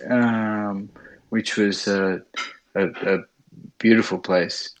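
Low-pitched Australian man, halting delivery. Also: steady tone, poor audio. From Sydney, Australia. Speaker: interview guest, bush pilot. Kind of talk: conversational interview storytelling.

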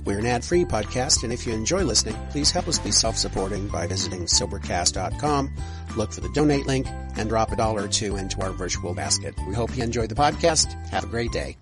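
Male podcast host, lowish voice, scripted intro over a clear music bed. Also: animated, brisk.

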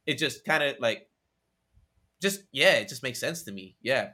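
A young man from Australia talks as a guest in a casual conversation, his voice bright and forward. He rambles with slang and side tangents, energetic and loose. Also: medium pitch, unhurried. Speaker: podcast guest.

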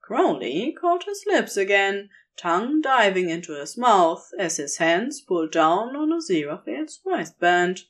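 Slow, expressive woman narrator. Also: medium pitch. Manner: slow, measured, expressive reading. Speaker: audiobook-style podfic narrator. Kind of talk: scripted fiction narration, intimate storytelling.

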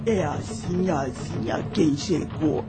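A woman's voice: low voice, slow pace, over music. An actress playing a tender, wise old blind enslaved woman in a radio drama, with folksy, motherly Brazilian speech. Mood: warm, tender. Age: older.

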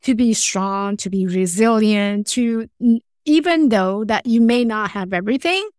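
A woman's voice: medium pitch, darkish tone, no echo, clean studio sound. A woman from Taiwan, a podcast guest telling her life story earnestly, restarting phrases as she goes. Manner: earnest, halting, with frequent restarts and small grammar slips. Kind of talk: personal storytelling in conversation.

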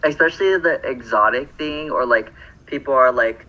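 A man's voice: mid-range voice, normal speed, muffled recording. A man from Phoenix co-hosting a podcast, chatting casually and rambling through lots of fillers and self-corrections. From Phoenix, Arizona. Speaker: podcast co-host.

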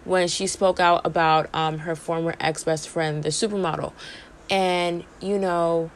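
A woman's voice: on the low side, fairly even intonation, unhurried, a little hiss or hum. A young woman hosting a podcast, chatting casually through entertainment gossip while she is losing her voice, with lots of "like" and "you know". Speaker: podcast host.

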